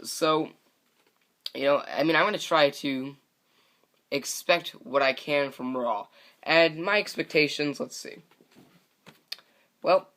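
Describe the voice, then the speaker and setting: A man's voice: fairly high pitch, slow pace, pauses often, bright, forceful tone. Young man rambling on a broken mic. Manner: rambling, frustrated, many fillers and restarts. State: voice a bit scratchy from talking all day. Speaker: podcast host. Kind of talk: solo wrestling podcast rant. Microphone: broken microphone.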